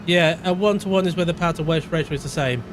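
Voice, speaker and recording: A high-pitched man from Portugal calls out his racing game live. He yells and cries out when the racing gets close. Between races he slips into calm, rambling chat with his viewers, over a faint hiss of noise. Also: quick pace. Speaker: game streamer. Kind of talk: live gameplay commentary and chat reading.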